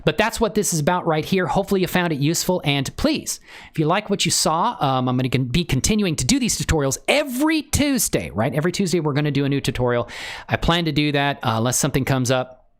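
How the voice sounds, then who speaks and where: Livestream tutorial host: informal, enthusiastic, chatty, mid-pitched with very animated intonation. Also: fast. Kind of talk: casual livestream chat with software tutorial.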